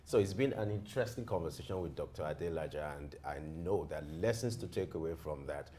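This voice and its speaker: A man's voice: rumbling low, animated, a little fast, mellow, slight background noise. A man with a Nigerian accent co-hosting a TV interview, upbeat and rhetorical. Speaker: co-host.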